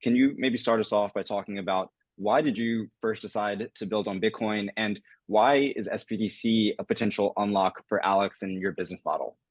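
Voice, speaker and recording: A man's voice: fairly low pitch, brisk, hardly any pauses, no room sound. A man moderating a panel discussion, introducing guests in an upbeat, scripted way.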